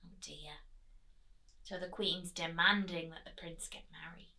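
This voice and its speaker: A woman teacher reading expressively to children at storytime, pausing to prompt them with questions. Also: fairly low pitch, steady tone, vocal fry, pushed and bright.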